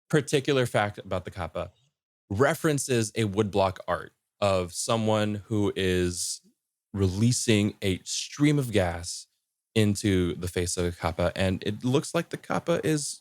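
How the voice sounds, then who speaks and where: A man explaining things in a casual podcast chat, halting and thoughtful with light amusement. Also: fairly low pitch, lively intonation, dry recording, studio quality. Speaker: podcast speaker.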